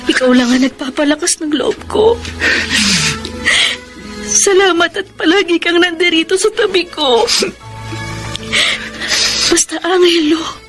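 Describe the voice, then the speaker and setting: A heartbroken Filipina wife and mother in a radio drama, her voice very high and tearful. She speaks with a Filipino accent, pleading and tender, over a clear bed of music. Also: measured pace, pauses often. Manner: emotional, pleading, code-switching speech. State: crying.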